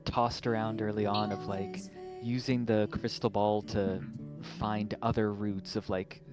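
A man's voice: on the low side, little pitch movement, a little slow. A man speaking as a game developer guest in a casual Q&A over an online call. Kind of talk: casual chat in a Q&A. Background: guitar music.

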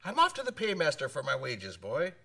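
An actor playing a hearty, boisterous old sailor with a salty manner in a radio drama. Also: male voice, on the high side, wildly swooping pitch, a little fast, creaky, sharp tone, full character voice.

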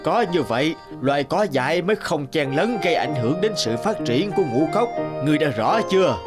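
An actor voicing a stern old emperor of heaven in an animated fairy tale, commanding and scolding in manner. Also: male voice, fairly high pitch, fairly quick, natural voice. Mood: solemn, instructive. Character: stern old Jade Emperor, imperious male ruler of heaven. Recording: music behind the voice.

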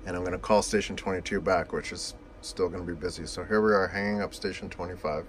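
A man's voice: deep voice, fairly even intonation, quick pace, very noisy. A man giving an informal, unscripted technical demonstration in a matter-of-fact, explanatory way, recorded indoors on a camera microphone. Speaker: demonstrator of vintage telephone equipment. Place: indoors beside an equipment cabinet.

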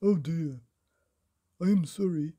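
Man playing an old storybook carpenter, mellow. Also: on the high side, slow. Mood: mock apologetic. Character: old village carpenter, scheming storybook townsman.

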